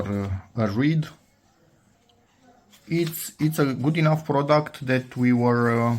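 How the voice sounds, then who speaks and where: A man talking informally and a little hesitantly through a hands-on DIY demonstration, thinking aloud as he goes. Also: medium pitch. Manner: informal, hesitant, self-narrating. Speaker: host of a DIY electronics channel.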